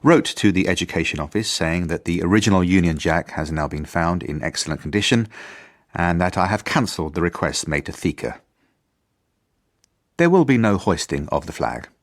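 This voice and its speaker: Deep-voiced, earnest British headmaster. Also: male voice, lively intonation, moderate pace. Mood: earnest, officious. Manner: formal, earnest, self-important. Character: earnest, idealistic, fussy male school headmaster. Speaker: actor. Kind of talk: radio drama journal narration.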